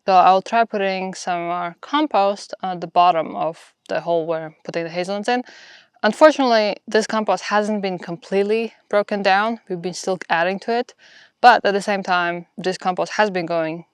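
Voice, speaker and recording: A woman's voice: medium pitch, clean tone, no echo, studio quality. A woman from Latvia with a Latvian accent, talking casually and explaining things as a homesteading vlogger. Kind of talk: casual explanatory vlog talk.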